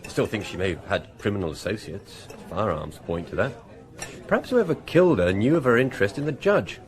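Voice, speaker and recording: An actor playing a reflective, methodical police investigator in a radio drama, thoughtful and slightly husky. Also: male voice, on the low side, expressive, a little fast, close to an everyday voice. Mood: doubtful, pondering. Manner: thoughtful, speculative. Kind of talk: naturalistic British radio drama acting.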